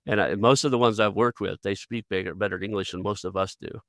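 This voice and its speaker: Man, Oklahoma accent, very deep voice, gravelly fry, dry close sound, rambling podcast host. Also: fairly even intonation, fast talker, fairly bright tone, no echo. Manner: rambling, folksy, restarts often. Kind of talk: conversational interview.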